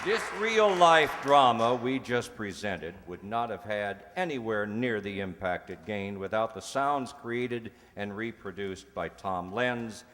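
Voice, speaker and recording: Animated male emcee in an echoey hall. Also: on the low side, measured pace, few pauses, a faint crowd. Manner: theatrical, jocular. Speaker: troupe announcer and master of ceremonies. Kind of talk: curtain-call introductions to a live audience. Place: hall with an audience. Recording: echoey hall, noisy live audience.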